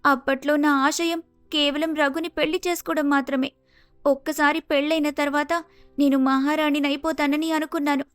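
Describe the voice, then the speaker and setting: A woman's voice: high voice, little pitch movement, moderate pace, a bit bright, no echo, faint music behind. A woman voicing a suffering, regretful young married daughter in a melodramatic, plaintive story style.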